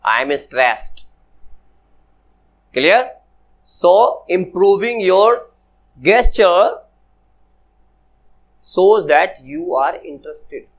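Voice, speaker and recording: 一位语速缓慢的男老师，讲课时反复问“明白吗”. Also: male voice, sits high, big pitch swings. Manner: slow, repetitive, often asks "Clear?". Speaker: personality development teacher. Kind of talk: classroom lecture to students.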